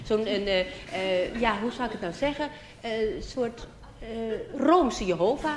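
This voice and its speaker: A woman performing a conversational comedy sketch on stage, telling things in an animated, searching way with some exasperation. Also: fairly high pitch, a little slow, a loud crowd. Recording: echoey hall, noisy old stage recording.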